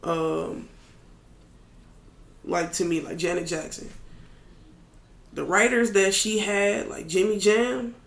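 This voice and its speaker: A man with a raspy, gravelly voice that sits fairly high for a man. He talks in a loose, candid way, as a podcast guest chatting about his craft. The sound carries an echoey room around it. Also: slow pace, long pauses. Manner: relaxed, candid, loose with filler words. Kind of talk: casual chat.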